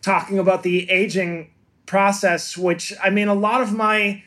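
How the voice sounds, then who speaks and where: Fifty-ish comedian guy, pretty high pitched, keeps restarting his sentences, eager thoughtful chat. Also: little pitch movement, a little slow, fairly bright tone. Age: 50s. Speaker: guest comedian. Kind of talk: casual chat between comedians.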